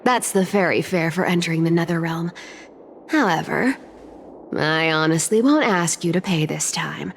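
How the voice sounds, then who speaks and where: A woman's voice: on the low side, normal speed, vocal fry, a little hiss or hum. A woman voicing a playful, theatrical young trickster demigoddess who loves to tease, in a video game. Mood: playful teasing. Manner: teasing, theatrical, lively.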